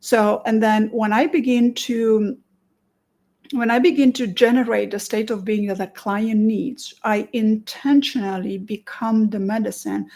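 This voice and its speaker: A woman from Romania with a Romanian accent, a therapist giving an earnest promotional talk, with many restarts and stretched pauses. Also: a little high, steady tone, gravelly, darkish tone. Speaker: therapist and conference presenter.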